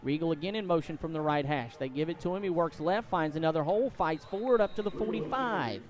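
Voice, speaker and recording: A man doing excitable live play-by-play commentary of a high school football game, outdoors at the stadium. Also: fast talker. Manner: excitable, voice climbs to shouts on big plays. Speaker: play-by-play sports announcer.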